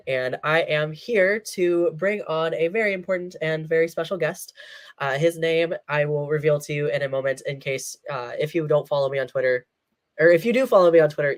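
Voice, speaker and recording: A man hosting a sports talk show, congested from a cold, chatting in a rambling way and laughing between phrases. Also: a little high. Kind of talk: casual solo sports rundown.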